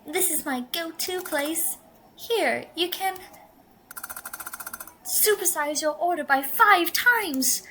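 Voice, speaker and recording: A woman's voice: extremely high pitch, slow, pauses often, pushed and bright, slight background noise, pitched above the performer's own voice, full character voice, poor audio. A woman voicing a cheerful, hungry young male office coworker in a game, in a bubbly, eager way.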